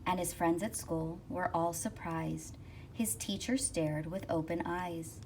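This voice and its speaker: A woman reading a rhyming children's picture book aloud expressively, with rhythmic, deliberate phrasing. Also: on the low side, fairly even intonation, a little mellow. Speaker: school counselor reading aloud. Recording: distant mic with faint noise.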